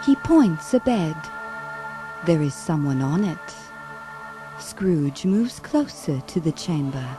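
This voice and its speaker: A woman narrating a ghostly radio drama in a solemn, measured, dramatic storytelling style. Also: low-pitched, sing-song, full character voice. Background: music.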